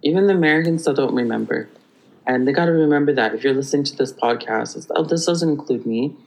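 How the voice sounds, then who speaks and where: Man, podcast guest, rambling storytelling, lively, frequent restarts, medium pitch. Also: fast talker, vocal fry, low-quality recording. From Hawaii. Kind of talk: conversational storytelling.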